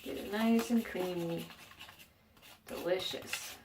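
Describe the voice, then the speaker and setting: A woman's voice: lowish voice, slow, background noise. A woman vlogging a casual how-to from her bathroom, rambling with fillers and joking asides, a little stuffed up. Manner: chatty, rambling, frequent fillers and self-mocking asides. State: a bit stuffed up. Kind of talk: casual how-to vlog chat. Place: home bathroom.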